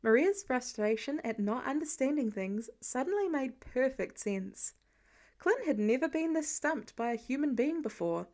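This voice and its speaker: High-voiced woman narrating fiction. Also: darkish tone. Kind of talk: expressive scripted story narration.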